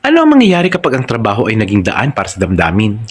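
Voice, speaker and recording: A man's voice: on the low side, huge pitch swings, fast, husky. A man with a Filipino accent reading a scripted romantic story as narrator. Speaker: narrator and channel host.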